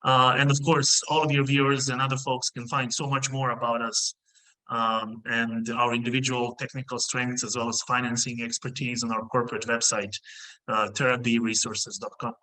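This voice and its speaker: A middle-aged man originally from Bosnia talks over a video call from an indoor room, explaining his company as a guest. His tone is bright and clear-edged, and he moves forward in careful steps broken by frequent filler pauses. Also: mid-range voice, flat delivery, low-quality recording. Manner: measured, many filler pauses. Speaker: mining company CEO, guest.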